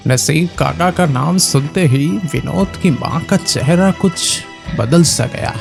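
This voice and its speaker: A man narrating a scripted horror story in a measured, suspenseful way. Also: fairly high pitch, creaky. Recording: loud music behind the voice. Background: loud music.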